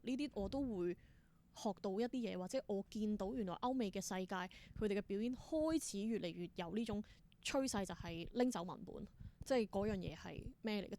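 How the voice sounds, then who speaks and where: A woman's voice: mid-range voice, fairly quick, dark tone. A woman with a Hong Kong Cantonese accent, speaking thoughtfully as an interview guest and mixing in English words. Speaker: interview guest, theatre performer and creator. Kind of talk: reflective interview conversation.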